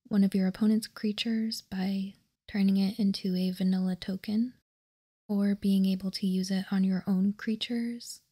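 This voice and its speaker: A woman whispers in an ASMR style, close to the microphone. Her delivery is very slow and deliberate, with an even, flat pitch. The voice is breathy and hushed as she reads aloud. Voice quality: soft unvoiced whisper, breathy. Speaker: ASMR host. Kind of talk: ASMR, reading card text aloud.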